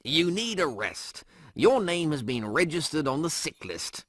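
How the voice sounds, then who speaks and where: Man as chatty medical vending machine, officious sales patter, swooping pitch, dry close sound, audiobook comedy. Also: sing-song, unhurried, a bit bright, no room sound, full character voice. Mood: bland, officious. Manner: clipped, formulaic announcements.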